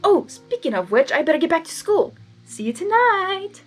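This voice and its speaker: Lady doing a cheery chatty girl pony teacher, really high, storybook style, music under it. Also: big pitch swings, normal speed. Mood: cheerful. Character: cheerful young female pony schoolteacher on a date. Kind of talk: dramatised storybook reading.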